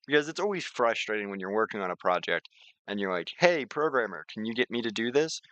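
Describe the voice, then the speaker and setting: A man presents an informal software tutorial in a fairly low voice with a bright, forceful edge. He talks conversationally and leans on repeated words to make his points. The sound is dry and close. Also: sing-song, fast, no room sound, low-quality recording. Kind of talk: informal screen-share tutorial.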